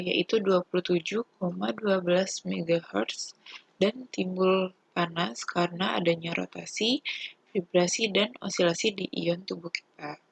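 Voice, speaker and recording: A woman's voice: fairly low pitch, vocal fry, slightly rough, no room sound, low-quality recording. A young woman with an Indonesian accent giving a formal, methodical student presentation. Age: young adult. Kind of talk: student presentation explaining a topic.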